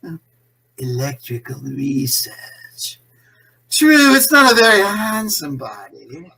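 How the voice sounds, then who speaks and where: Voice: medium pitch, bright, forceful tone, exaggerated voice, low-quality recording. An actor playing a condescending, scheming imprisoned crime boss in a 1940s-style radio play, suave and gloating in manner. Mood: gloating, mocking.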